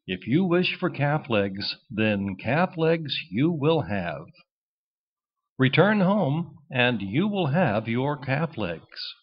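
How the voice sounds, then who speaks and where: A man playing a lordly but benevolent animal king of the forest in a storybook, rumbling and measured. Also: very animated, slightly rough, lo-fi sound, exaggerated voice. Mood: resigned, granting. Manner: regal, measured.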